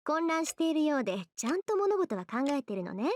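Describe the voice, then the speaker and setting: A woman playing a sharp-tongued, composed teenage girl in a Japanese visual novel, brisk, scolding and haughty. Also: high-pitched, very animated, a little hiss or hum. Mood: smug, cool. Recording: background music under game dialogue.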